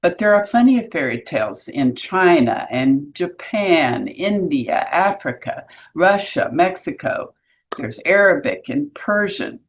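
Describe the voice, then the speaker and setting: A woman's voice: low-pitched, very animated, unhurried. Woman explaining, slightly muffled recording. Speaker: presenter of an educational video series, author and workshop leader. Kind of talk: semi-scripted educational explainer.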